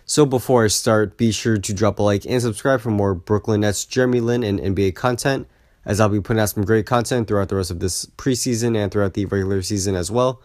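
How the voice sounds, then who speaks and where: A man speaks in a low voice that sounds sick and partly lost. He delivers casual sports analysis like a video host talking to his viewers, opinionated and peppered with "you know". The sound is dry, with very little room around it. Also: a little fast, a little mellow, no room sound. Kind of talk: casual basketball recap and analysis vlog.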